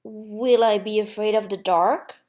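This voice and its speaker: A woman's voice: mid-range voice, fairly even intonation, a little slow, darkish tone, natural voice. A woman voicing an eager, curious, childlike little soul in a storybook, wide-eyed and full of questions and exclamations. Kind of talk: storybook character voice.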